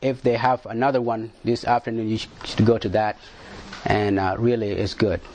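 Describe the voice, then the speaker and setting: A man answering questions on a panel in a halting way, often repeating words and restarting. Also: lowish voice, level tone, darkish tone, a little hiss or hum. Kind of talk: panel discussion.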